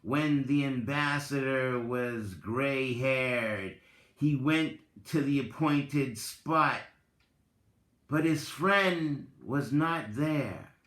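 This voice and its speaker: Very slow-talking man in an echoey room. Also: medium pitch, fairly bright tone. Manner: deliberate, halting delivery in short phrases. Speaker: reader, content creator. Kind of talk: dramatic reading with spoken commentary. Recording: roomy, echoing voice recording.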